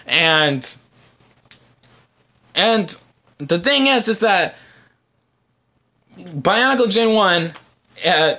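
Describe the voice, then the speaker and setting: A man hosting a casual fan podcast, answering viewer comments in a halting, rambling way as he thinks aloud. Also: fairly high pitch, sharp tone. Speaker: podcast host.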